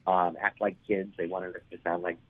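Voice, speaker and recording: Man, 40s, raspy, hoarse, very low voice, rambling guest, dry phone-line sound. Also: level tone, moderate pace, dry recording. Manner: rambling, many fillers and restarts. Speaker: actor guest. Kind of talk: casual interview storytelling.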